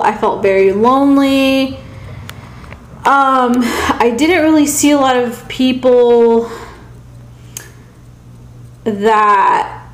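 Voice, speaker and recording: Slow, halting young American woman, echoey room. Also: medium pitch. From South Carolina, United States, originally Pakistan. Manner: hesitant, rambling, halting with long pauses. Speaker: vlogger. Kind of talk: casual personal vlog monologue. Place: small indoor room at home with shelves. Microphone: camera or phone at arm's length.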